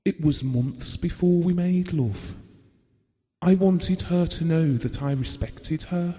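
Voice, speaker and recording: An actor playing a gentlemanly, possessive husband who looks back wistfully in an audio drama, measured and reflective. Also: male voice, a little high. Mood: tender, possessive. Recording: long reverberant hall sound.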